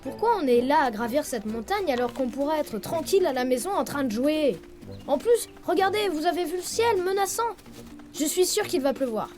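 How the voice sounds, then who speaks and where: A young animal sidekick in a children's cartoon. The cub sounds very high, whiny and expressive, timid yet loyal. Music runs underneath. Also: female voice, normal speed. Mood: reluctant, grumbling.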